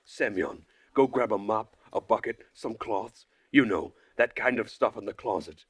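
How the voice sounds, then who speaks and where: A man's voice: fairly low pitch, measured pace, breathy edge, no echo, pitched above the performer's own voice. A man voicing a stern, exasperated woman who runs a camp, bossy and scolding, for a visual novel.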